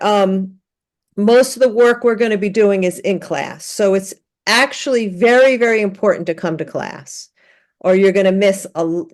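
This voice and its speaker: A woman teaching an online class, giving a relaxed orientation with many fillers and restarts. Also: mid-range voice. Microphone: video call.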